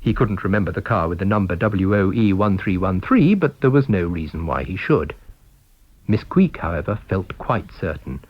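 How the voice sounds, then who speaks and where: A British man reading a story aloud as scripted narration, with expressive, measured delivery. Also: sits low, husky, highs cut off. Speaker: audiobook reader.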